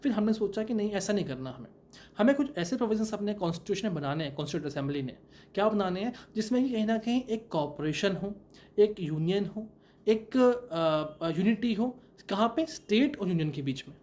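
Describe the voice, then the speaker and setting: High-voiced man giving a law lecture. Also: animated, a little fast, darkish tone. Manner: asks rhetorical questions and answers them; mixes English terms into Hindi. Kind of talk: explanatory lecture.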